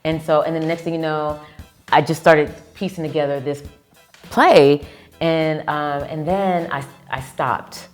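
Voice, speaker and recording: A woman actress and producer, chatting as an interview guest, telling stories with lively acted-out quotes. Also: low voice, unhurried, faint music behind. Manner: animated storyteller who acts out quoted lines. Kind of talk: casual interview chat.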